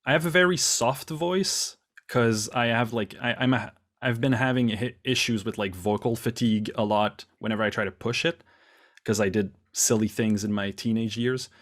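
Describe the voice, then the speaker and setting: Man, from Canada, musician guest, casual interview, mid pitch, quick restarts, video call. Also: fairly bright tone. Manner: quick, restarts often, self-deprecating laughs.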